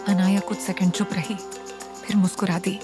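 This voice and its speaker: A woman storyteller reading scripted, dramatic narration for an animated tale. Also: mid-range voice, moderate pace, over music.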